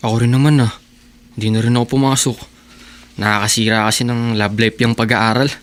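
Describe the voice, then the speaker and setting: Man playing teenage boy, lazy and pushy, Filipino accent, radio drama, mid-pitched voice. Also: flat delivery, brisk, lightly acted. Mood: coaxing, pushy. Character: lazy, pushy teenage high school boyfriend.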